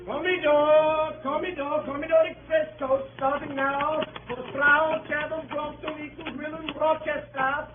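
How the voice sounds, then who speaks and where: Casting: coach crier, very high sing-song bawl, distant and echoing, vintage radio play. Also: male voice, level tone, narrow, tinny audio. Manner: sing-song drawn-out street cry. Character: male coach crier bawling departures. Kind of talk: 1930s radio acting. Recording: faint echoey 1938 radio, far, loud music.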